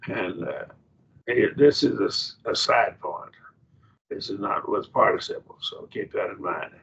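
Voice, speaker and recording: A man with an Oklahoma accent teaches grammar on a video call, his voice raspy and gravelly with a bright, tense edge. He talks in halting restarts and checks that the class follows. The call audio sounds dull and lo-fi. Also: lowish voice. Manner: halting, restarts, explains patiently and checks understanding. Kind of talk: online class lecture.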